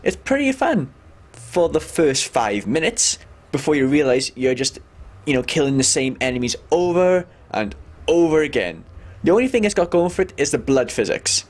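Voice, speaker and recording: A man's voice: on the high side, big pitch swings, normal speed. A young man giving an exasperated, sweary, informal comedic game review. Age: young adult. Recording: noisy home room recording.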